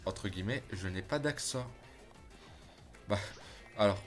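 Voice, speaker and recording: Excitable low-voiced male gamer streamer. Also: a little slow, soft background music. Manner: excitable, chatty, addresses chat as "les gars"; swears when frustrated. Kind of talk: casual gameplay commentary.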